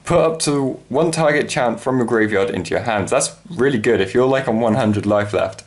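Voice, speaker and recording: A man's voice: medium pitch, normal speed. A man presenting a casual unboxing, talking off the cuff in a hesitant, rambling way as he thinks aloud. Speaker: trading card unboxing presenter. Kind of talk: casual unscripted product unboxing commentary.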